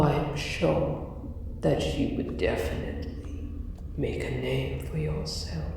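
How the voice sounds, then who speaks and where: A man voicing a huge, condescending dragon who guards his treasure, speaking in a deliberate, mock-polite way in an improvised ASMR roleplay. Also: fairly high pitch, creaky, mellow, heavily acted. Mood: smug, probing. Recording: roomy echo, distant, faint noise, cave ambience. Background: cave ambience.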